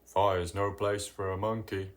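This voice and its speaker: A storybook reader voicing a brisk, firm, grumbling firefighter. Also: sits low, level tone.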